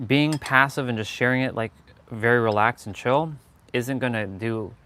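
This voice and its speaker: A man's voice: fairly low pitch, fairly bright tone. A man with a Canadian accent giving an impromptu, insistent motivational monologue on a livestream, repeating phrases for stress. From Toronto, Canada. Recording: clearly noisy outdoor ambience.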